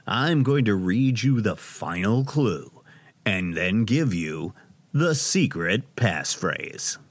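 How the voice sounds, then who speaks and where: An actor playing a theatrical, self-important radio host in an audio drama, grandiose and melodramatic. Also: male voice, lowish voice, sing-song, takes it slowly, heavily acted. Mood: showman grandeur. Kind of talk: audio drama acting, radio showman patter.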